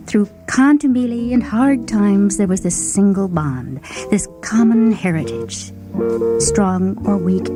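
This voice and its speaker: A woman performing a short story as an obese, food-obsessed young woman and devoted radio fan, confiding and savoring her words with dramatic pauses. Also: mid-range voice, lightly acted. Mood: fervent. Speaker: story reader. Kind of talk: dramatic first-person short story reading. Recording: music bed under the voice. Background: instrumental music with plucked guitar.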